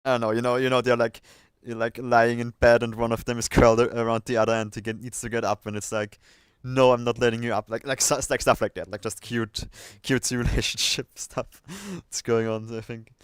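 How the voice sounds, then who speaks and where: A man's voice: medium pitch, little pitch movement, a little fast, few pauses, clear, resonant tone, no room sound. A man hosting a casual livestream chat, thinking aloud and hesitating as he goes. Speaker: livestream host.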